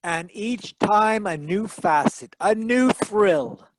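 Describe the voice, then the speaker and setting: A man playing a wry, breezy, smooth-talking private detective in 1940s radio style, with theatrical flowery phrasing. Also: high voice, expressive, takes it slowly, noisy background. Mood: playful.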